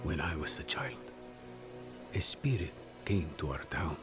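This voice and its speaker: Deep, slow, grave spiritual guide. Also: male voice, big pitch swings, exaggerated voice. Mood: solemn storytelling. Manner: measured, wry, weighty storytelling delivery. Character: grave, sardonic spiritual guide and loyal friend, older man. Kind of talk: dramatic video game cutscene acting. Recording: loud music under the voice.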